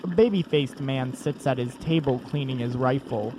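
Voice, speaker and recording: A man's voice: medium pitch, normal speed, heavy background noise. A man reading scripted scene narration for an audio drama. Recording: clear music bed behind the voice.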